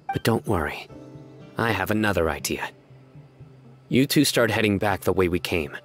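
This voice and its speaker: Serious desert lawman for a video game, male actor: deep, calm, measured, methodical. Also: natural voice. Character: stern, calm, analytical male desert enforcer. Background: music.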